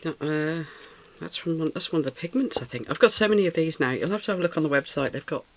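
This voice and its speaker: Snuffly British craft tutor, gravelly voice. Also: medium pitch, animated, fast. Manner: chatty and rambling, thinks aloud, coos over materials. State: slightly snuffly, recovering from a cold. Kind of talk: casual hands-on craft tutorial.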